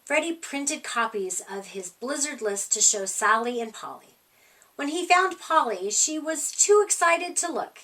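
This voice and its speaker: A woman with a fairly high, bright voice narrates a children's storybook. Her read-aloud is expressive and emphatic, pitched for young listeners at storytime. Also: measured pace, far from the mic, rough recording. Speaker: storyteller reading a children's picture book.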